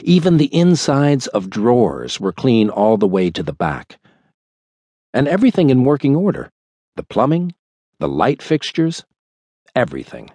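A man reading a thriller audiobook in a measured, dramatic storytelling manner. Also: medium pitch, sing-song, mellow, breathy edge, dry recording. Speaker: audiobook narrator. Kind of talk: scripted thriller audiobook narration. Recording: dry studio recording.

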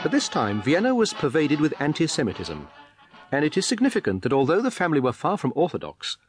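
A man's voice: medium pitch, no room sound, clean studio sound. A man reading a scripted biographical documentary narration in a formal, articulate, explanatory manner.

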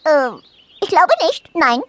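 A woman's voice: high voice, expressive, takes it slowly, a bit bright, no echo, pitched above the performer's own voice, exaggerated voice. A woman voicing a tiny, frank dormouse in a storybook, with a chirpy, blunt and emphatic manner. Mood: matter-of-fact. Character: tiny frank dormouse, lively and matter-of-fact. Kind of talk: storybook character voice.

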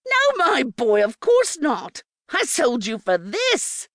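A woman's voice: sits high, wildly swooping pitch, slow, fairly bright tone, dry recording, studio quality, pitched above the performer's own voice, heavily acted. A woman voicing a wily, coarse, mean-tempered old hag in a storybook, brash and scornful. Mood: smug, mocking. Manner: brash, scornful, talks with mouth full.

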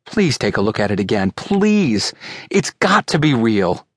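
A man's voice: medium pitch, lively intonation, normal speed, a bit bright, no echo, close to an everyday voice. Man acting anxious male seller, audiobook dialogue, disbelieving, insistent, pleading edge, very dry recording. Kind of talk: audiobook character voice.

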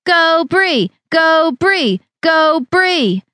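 A woman voicing a pushy, upbeat young female fitness trainer who coaxes loudly. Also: a little high, very animated, unhurried, no echo, pitched above the performer's own voice. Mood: cheering. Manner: pushy, cheerful, loud coaxing.

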